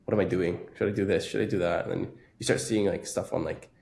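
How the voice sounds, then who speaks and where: A man's voice: sits low, fairly even intonation, fast, gravelly, darkish tone. A man in his early twenties, a dental student guest, talking earnestly and a bit hesitantly. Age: 20s. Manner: earnest, hesitant, many "like" fillers. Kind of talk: casual podcast conversation.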